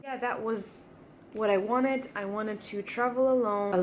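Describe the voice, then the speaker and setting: A woman's voice: mid-range voice, a little hiss or hum. A young woman from Germany talking casually to her camera in a video diary, rambling with fillers and sounding jet lagged. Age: young adult. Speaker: vlogger.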